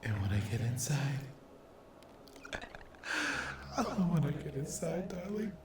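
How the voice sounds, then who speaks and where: A man voicing a cruel, mocking sleep paralysis demon in a whispered ASMR horror roleplay, taunting the listener with menace and mock-sweet endearments in a breathy, gravelly voice. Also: a little high, very animated, brisk, background noise. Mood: hungry, obsessive.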